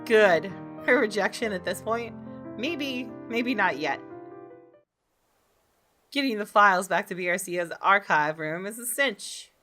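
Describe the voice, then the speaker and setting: A woman's voice: mid-range voice, lively intonation, unhurried, pauses often, sharp tone, soft background music. A woman hosting a gaming video, reading visual novel text aloud and reacting expressively.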